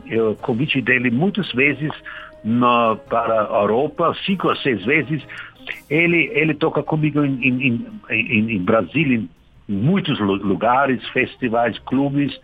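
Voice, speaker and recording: A man who is not a native speaker, a guest musician in a radio interview, talking haltingly and searching for words. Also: mid-range voice, breathy edge. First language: not Portuguese. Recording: dull radio broadcast sound.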